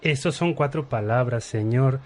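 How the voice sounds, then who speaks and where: Man as a deadpan, mid-pitched aristocratic henchman. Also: normal speed, close to an everyday voice. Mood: obedient, deadpan. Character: dutiful, dry aristocratic henchman to the boss. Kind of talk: parody radio soap opera acting.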